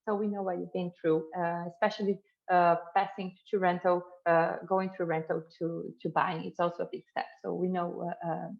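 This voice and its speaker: A woman's voice: fairly low pitch, little pitch movement, normal speed, vocal fry, lo-fi sound. A middle-aged woman from Brazil hosting a housing webinar, friendly and full of fillers and restarts. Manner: friendly, many fillers and restarts, laughs easily. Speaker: webinar host, housing buying manager. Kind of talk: presenting a webinar.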